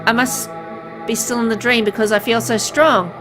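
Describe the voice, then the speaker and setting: A woman voicing a gravely ill man who is turning into a hungry vampire, reading the first-person game text aloud in her own plain voice, with game music underneath. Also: fairly high pitch, steady tone, normal speed, voice pushed up, close to an everyday voice.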